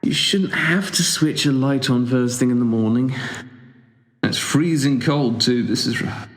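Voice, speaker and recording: A man's voice: mid-range voice, unhurried, lightly acted. A man playing a reluctant, sarcastic recluse in an audio drama, stammering and awkward in a conversational style. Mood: grouchy, protesting.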